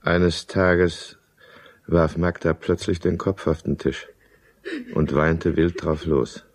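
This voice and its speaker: Deep-voiced, self-pitying drinking husband. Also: male voice, flat delivery, slow pace. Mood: brooding, confessional. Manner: brooding, self-justifying. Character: alcoholic German businessman husband, self-pitying, defensive, irritable. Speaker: lead character and first-person narrator. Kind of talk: German radio drama acting and narration.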